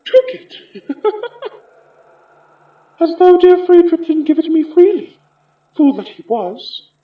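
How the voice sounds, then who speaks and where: An actor playing a polished, sinister sorcerer spirit from the past in an audio horror drama, measured and theatrical. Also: very high-pitched, animated, exaggerated voice. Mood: wistful, haughty. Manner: slow, measured, theatrical, politely menacing. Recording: muffled, echoey room.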